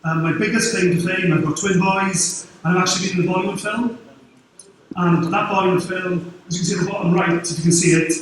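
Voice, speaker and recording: A man's voice: high voice, steady tone, a little hiss or hum. Welsh man talking in an echoey hall. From Wales. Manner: quick, informal, dry humour. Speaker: conference speaker. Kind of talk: conference talk. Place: large dark conference hall. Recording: very echoey hall, distant mic.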